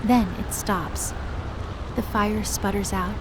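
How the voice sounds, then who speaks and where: A woman giving expressive, scripted dramatic narration as the storyteller of a fantasy audio drama. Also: mid-range voice, slow pace, background noise.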